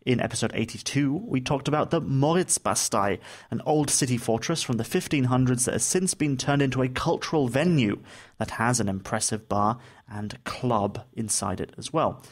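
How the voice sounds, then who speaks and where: A man's voice: mid-range voice, moderate pace. A man co-hosting a podcast in casual chat, joking and laughing easily. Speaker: podcast co-host.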